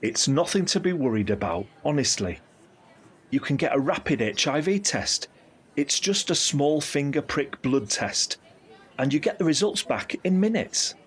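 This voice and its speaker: An actor playing a candid, caring middle-aged uncle in an audio drama, speaking in an earnest, reflective, explanatory way. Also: male voice, a little high, big pitch swings, normal speed, breathy edge. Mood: reassuring. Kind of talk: scripted audio drama dialogue.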